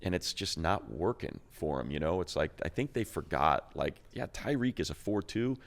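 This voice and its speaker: A man with a deep voice and plenty of vocal fry co-hosts a sports talk show. His analysis is casual and conversational, delivered in blunt, colloquial language. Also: expressive, moderate pace.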